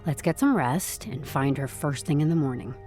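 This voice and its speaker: Low-voiced, decisive woman sleuth. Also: lively intonation, moderate pace, mellow, soft background music. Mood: probing, decisive. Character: shrewd, decisive, self-preserving female HR sleuth. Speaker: actor in a scripted podcast. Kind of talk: naturalistic audio drama acting.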